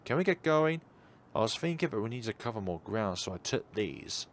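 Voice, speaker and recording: A man's voice: lowish voice, big pitch swings, moderate pace, a little hiss or hum. A man voicing a keen, casual, rule-bending teenager in a visual novel. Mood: eager.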